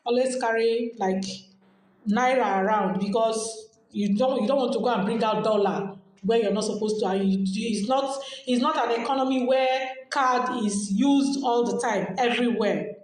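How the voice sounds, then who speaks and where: A woman's voice: fairly high pitch, normal speed, a little mellow. A woman with a Nigerian accent hosting a podcast, giving casual advice in a chatty way and repeating phrases as she explains. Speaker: podcast host. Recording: very echoey room.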